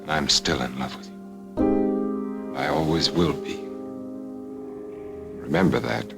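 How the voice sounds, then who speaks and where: A man's voice: very deep voice, very animated, takes it slowly, gravelly, full character voice. An actor playing a grave, lovelorn man saying a lingering farewell in an audio drama. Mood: solemn, tender. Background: piano music.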